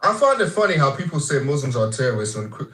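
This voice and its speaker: A man's voice: medium pitch, big pitch swings, fast talker, fairly bright tone, roomy sound. A man from Africa in a heated group voice chat, talking brashly and profanely and taunting others. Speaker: voice chat participant.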